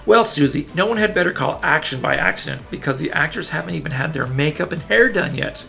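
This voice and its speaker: A man's voice: on the high side, expressive, quick pace, creaky, sharp tone, soft background music. A man reading a children's story aloud in a playful, enthusiastic way, with casual asides to young listeners. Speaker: children's book reader.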